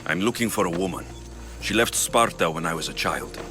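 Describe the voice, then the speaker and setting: A blunt young Spartan mercenary in a video game, his voice low and even. He speaks in short, dry, matter-of-fact lines. A loud score swells behind him. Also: fairly quick, over music. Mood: guarded. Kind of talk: video game cutscene acting.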